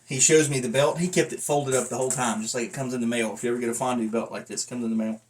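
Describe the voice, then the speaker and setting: A man with a middle-pitched voice gives an informal review as a hobbyist vlogger. He rambles conversationally and speaks bluntly. His manner is self-deprecating and unpolished, like someone talking off the cuff. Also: steady tone, racing. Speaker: vlogger and wrestling belt collector reviewing a belt. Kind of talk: casual, rambling review talk to camera.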